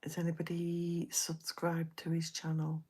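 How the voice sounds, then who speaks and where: A woman hosting a late-night live stream, talking to her viewers in a soft, half-whispered voice. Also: deep voice, completely flat delivery, unhurried, husky.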